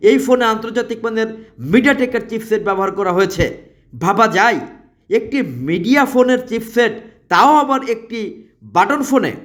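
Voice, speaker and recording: A man with a Bangladeshi accent delivering an emphatic, repetitive promotional sales pitch as a product advertiser. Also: moderate pace, fairly bright tone. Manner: emphatic, repetitive, listing features.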